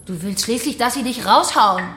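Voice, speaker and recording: An actress playing a controlled, taunting kidnapper who runs a betting game in a radio crime drama. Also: female voice, a little high, animated, normal speed, pushed and bright, slight background noise. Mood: mocking. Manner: controlled, taunting, matter-of-fact. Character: cool, mocking female kidnapper running a betting game. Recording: echoing room with music behind.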